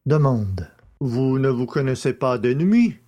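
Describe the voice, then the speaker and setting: A man reading a magistrate's questions deliberately in a dramatised audiobook. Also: medium pitch, animated, mellow.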